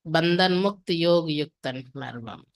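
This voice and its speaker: A woman delivers a devotional discourse to a class. Her voice sits a little low for a woman. She explains point by point, asking rhetorical questions and returning to set refrains. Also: brisk. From India. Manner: didactic, with rhetorical questions and repeated attributive refrains. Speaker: spiritual teacher reading and explaining a daily discourse.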